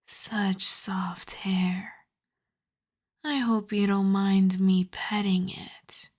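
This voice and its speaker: A predatory vampire countess, acted by a woman, croons in a soft, breathy voice at a mid-range pitch. She takes her time, drawing words out very slowly and often sinking into whispers. The ASMR roleplay delivery is seductive, teasing and condescendingly tender. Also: flat delivery. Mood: possessive, soothing.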